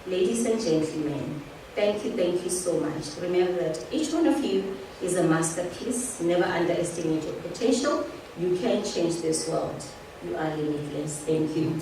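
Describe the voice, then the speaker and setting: A woman's voice: on the low side, expressive, normal speed, noisy background. A woman giving an emphatic, uplifting motivational speech at an event. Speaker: speaker. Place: event venue. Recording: echoey hall from far off.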